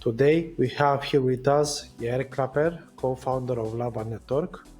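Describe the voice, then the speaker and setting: A host reads his scripted intro and interview questions in a measured, formal manner. His voice sits at a middle pitch, with faint music beneath. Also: a little mellow, slightly rough. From Romania. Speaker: podcast host.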